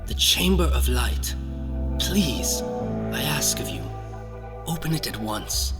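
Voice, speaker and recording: Man playing a slow, pleading devoted servant. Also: medium pitch, expressive, loud music behind the voice. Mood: pleading, reverent. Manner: solemn, halting, imploring. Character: reverent, pleading male follower serving his lord. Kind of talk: fantasy audio drama acting.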